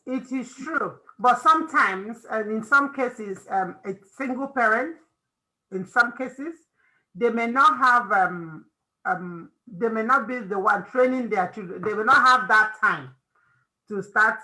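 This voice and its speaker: A woman's voice: medium pitch, bright, forceful tone, low-quality recording. A woman with a Nigerian accent hosting an informal faith-based advice talk, emphatic and repeating phrases for stress. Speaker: host of a family discussion.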